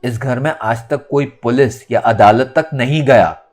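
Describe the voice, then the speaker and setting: A man's voice: mid-range voice, a little slow, faint music behind, lightly acted. A man voicing a domineering, hot-tempered man of the house in an audiobook, scolding with indignation. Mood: furious. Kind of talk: dramatic audiobook character acting.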